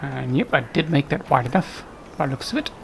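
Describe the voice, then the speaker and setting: A man's voice: mid-range voice, lively intonation, fairly quick, creaky, a bit bright, a little hiss or hum. A man giving casual, unscripted gameplay commentary, musing to himself as he plays. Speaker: gameplay video host. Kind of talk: casual let's play commentary.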